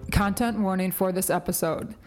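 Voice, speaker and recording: A woman co-hosting a podcast, reading the intro and content notes in a steady way. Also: medium pitch, normal speed, faint music behind. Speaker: podcast co-host.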